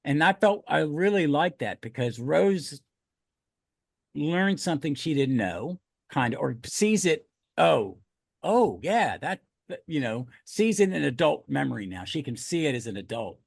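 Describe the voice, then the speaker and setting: Creaky, higher-voiced man hosting a casual podcast. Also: sing-song, unhurried, dry recording, studio quality. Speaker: podcast host. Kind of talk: casual chat.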